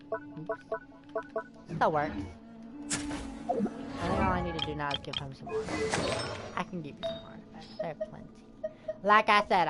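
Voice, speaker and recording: A woman giving casual commentary as she plays a video game. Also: sits low. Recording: loud game music behind.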